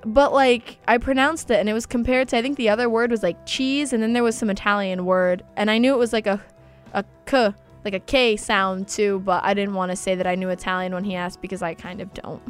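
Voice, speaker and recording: A young woman whose first language is Polish, hosting a casual college radio talk show with a bubbly, chatty and animated way of telling stories. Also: mid-range voice, brisk, faint music behind. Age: young adult. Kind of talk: casual chat.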